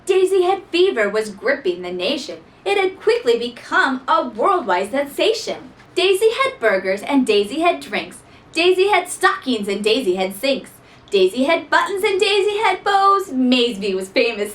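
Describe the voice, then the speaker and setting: High-voiced woman reading a rhyming storybook. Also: a little slow. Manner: expressive, theatrical. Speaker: storybook reader. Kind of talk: dramatic rhyming children's story narration. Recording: voice somewhat distant from mic.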